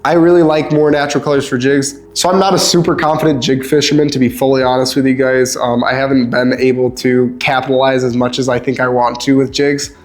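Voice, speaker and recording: A young man with a stuffed-up nose, casually reviewing fishing lures in a relaxed, chatty way with lots of ums. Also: medium pitch, a little fast, hardly any pauses. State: stuffed up, sniffly. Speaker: lure reviewer.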